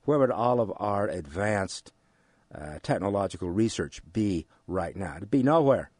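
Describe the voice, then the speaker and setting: An older man with an American accent explains things in an interview, haltingly, repeating phrases for emphasis. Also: on the low side, expressive, a little mellow, no room sound, studio quality. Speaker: economics instructor giving an interview.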